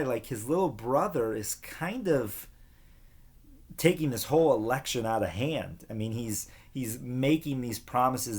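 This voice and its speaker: Animated man reading a children's story. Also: mid-range voice. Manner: animated, dramatic pauses, questions to listeners. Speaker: read-aloud storyteller for children. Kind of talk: expressive storybook narration with casual asides.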